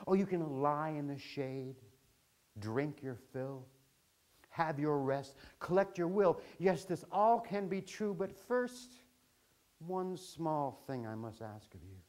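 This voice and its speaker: A man voicing a sinister tempter angel in a spoken-word parable, grand and mocking, with falsely generous, drawn-out phrasing. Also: fairly high pitch, expressive, a little mellow. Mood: tempting.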